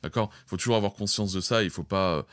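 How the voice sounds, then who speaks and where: Guy explaining mixing stuff casually, deep voice, really dry close sound. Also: fast, a bit bright, no room sound. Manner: explanatory, colloquial, direct address to viewers. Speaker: audio mixing instructor. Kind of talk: informal spoken tutorial.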